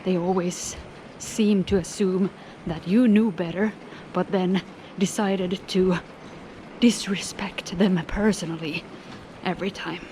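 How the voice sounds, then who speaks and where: A woman's voice: fairly low pitch, fairly even intonation, slow, warm tone, a little hiss or hum. A woman talking casually to her camera as she travels through an airport, chatty and sarcastic, swearing freely. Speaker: vlogger. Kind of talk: casual travel vlog monologue. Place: airport terminal.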